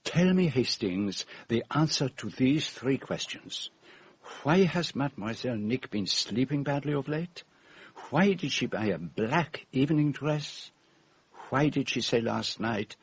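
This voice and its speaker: An actor playing a shrewd, theatrical middle-aged detective with a Belgian French accent, speaking in formal, emphatic phrases in a radio drama. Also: male voice, mid-range voice, animated, a little slow, a little hiss or hum, lightly acted. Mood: probing, urgent. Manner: emphatic, precise, formal phrasing with rhetorical questions.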